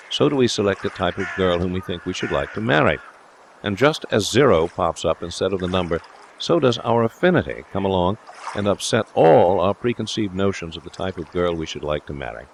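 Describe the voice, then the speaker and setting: A man voicing a brash, talkative young gentleman with a complacent, pompously philosophical manner, in a storybook reading. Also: low voice, slight background noise. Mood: relieved, expounding. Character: brash, self-assured young gentleman philosopher, cheerfully smitten.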